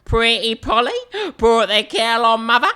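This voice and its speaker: Lady doing a cheeky chattering parrot skeleton, sharp clear high voice, kids story. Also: steady tone, normal speed. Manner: chattering, stock parrot phrases.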